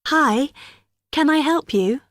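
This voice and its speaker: Friendly young woman assistant, chatty and clear, dry close sound. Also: high voice, expressive, slow pace, no echo. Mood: helpful. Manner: chatty, helpful, explains clearly. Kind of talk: scripted exam dialogue.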